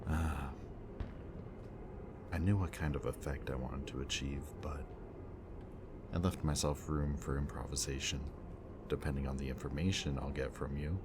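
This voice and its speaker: A man playing a bitter, remorseful villain in an audio roleplay, confessing in a low, intimate voice that sometimes drops to a whisper. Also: normal speed, long pauses. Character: remorseful bitter male villain, young adult. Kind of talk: audio roleplay addressed to the listener. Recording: steady ambient background noise.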